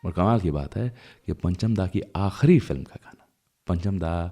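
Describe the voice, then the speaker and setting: A man's voice: low voice, lively intonation, moderate pace, warm tone. A man with an Indian accent hosting a radio show, talking to listeners in a conversational, reflective storytelling way about music.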